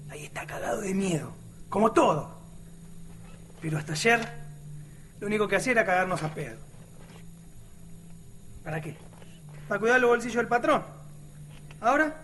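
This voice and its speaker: An earnest, outspoken working-class Argentine militiaman in a radio drama. He talks in slangy Rioplatense street speech, in a high-pitched voice over faint noise. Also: a bit bright. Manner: colloquial, slangy.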